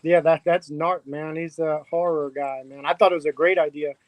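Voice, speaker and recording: A man's voice: on the high side, fairly even intonation, moderate pace, a loud crowd. A man from a crypto project chatting in a laid-back, casual way, stumbling over his words. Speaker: crypto project team member. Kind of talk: casual livestream chat.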